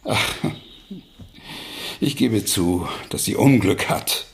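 Slow, creaky, insistent old father. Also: on the low side, lively intonation, full character voice. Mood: insistent, reproachful. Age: older. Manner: deliberate, urging, with pointed pauses. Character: stout, pleasure-loving old father, blunt and insistent. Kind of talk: German radio drama acting.

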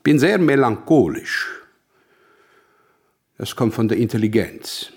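An actor playing a brilliant, self-important, melancholic mathematician in a radio drama, with a husky voice and a pompous, wry, deliberate manner. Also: male voice, mid-range voice, animated, heavily acted. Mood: wry, confiding.

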